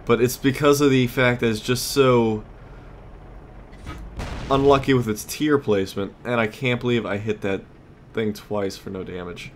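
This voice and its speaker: A man's voice: medium pitch, fairly even intonation, normal speed. A man giving casual gameplay commentary as a let's play host, muttering and exclaiming as he plays. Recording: clear background noise, game ambience.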